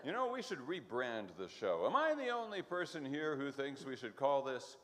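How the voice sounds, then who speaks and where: A man's voice: medium pitch, big pitch swings, normal speed, a bit bright, long reverb tail. A man playing a folksy, pompous announcer on an old-time church radio show, with flowery phrasing. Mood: chatty.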